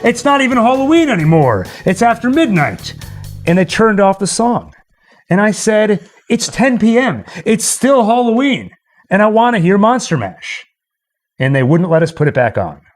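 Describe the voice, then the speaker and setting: A man's voice: sits high, big pitch swings, normal speed. A man hosting a casual livestream, chatting in a jokey, rambling way. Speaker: livestream host. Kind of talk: casual livestream chat and sign-off. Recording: music playing behind the voice. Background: Halloween novelty songs.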